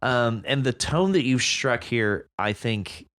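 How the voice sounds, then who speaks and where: Man with lively, swooping intonation. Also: mid-range voice, slow pace, smooth. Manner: enthusiastic, sweary. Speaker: podcast co-host. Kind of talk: casual literary commentary.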